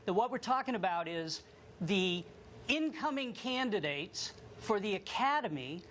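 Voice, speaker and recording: Man, American accent, government official interviewed, formal, measured, halting in places, noise behind voice. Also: sits high, animated, fairly bright tone. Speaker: government lawyer interviewed as guest. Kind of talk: formal explanatory television interview.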